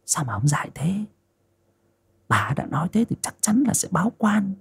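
A man voicing a scheming young wife, sharp-tongued and given to hissed whispers. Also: a little high, big pitch swings, normal speed, creaky, husky, pitched above the performer's own voice, heavily acted. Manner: hissing, conspiratorial whispers.

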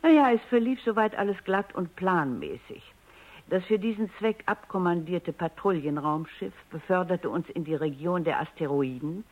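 A woman's voice: fairly low pitch, expressive, normal speed. An actress playing a dryly ironic elderly woman scientist, speaking precisely in a radio drama. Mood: wry reminiscing. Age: older. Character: sharp, dryly ironic elderly woman robot psychologist, reminiscing. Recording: dull vintage radio recording.

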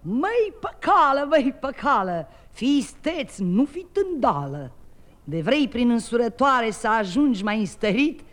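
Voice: medium pitch, very animated, a bit bright. An actor voicing a villager who teases the hero with advice in rhymed verse, in an old radio drama. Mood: teasing. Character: villager giving marriage advice.

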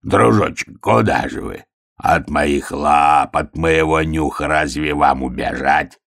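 A man voicing a sly, menacing storybook wolf who speaks with mock politeness in an unctuous, husky, drawn-out way. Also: a bass voice, fairly bright tone, pitched below the performer's own voice, heavily acted. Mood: taunting. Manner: unctuous, wheedling, drawn-out words. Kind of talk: storybook character reading.

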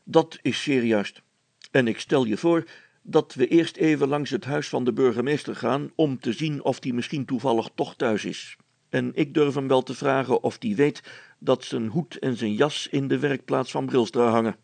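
A man's voice: mid-range voice, normal speed, vocal fry, darkish tone, dry recording. A man voicing a pompous, self-important village schoolmaster who plays detective in a storybook, declaiming in formal words. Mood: eager.

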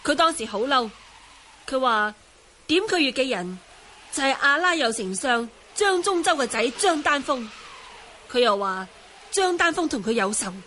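An earnest young martial-arts heroine in a Cantonese radio drama. Her voice is very high and her delivery is deferential and vivid. Background noise is clearly audible. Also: big pitch swings, moderate pace, bright, forceful tone, full character voice. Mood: concerned.

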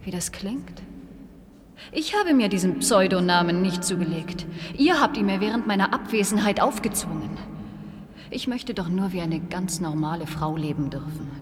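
A woman's voice: fairly low pitch, lively intonation, normal speed, big-room sound, background noise, lightly acted. An actress playing an anguished, defiant woman back from space in a radio play, pleading theatrically. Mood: longing, pleading. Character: anguished, defiant female space returnee pleading with her husband. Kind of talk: German radio play acting.

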